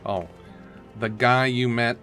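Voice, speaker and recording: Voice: medium pitch, fairly even intonation, takes it slowly, music in the background. A game streamer giving casual, jokey playthrough commentary and mimicking how the characters would react.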